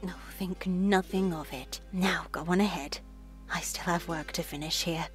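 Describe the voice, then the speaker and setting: A woman voicing a gentle, pious older nun for a visual novel, solemn and reassuring in a measured, hushed, breathy delivery. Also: fairly low pitch, fairly even intonation, over music, voice pushed down, natural voice. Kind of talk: visual novel dialogue read aloud.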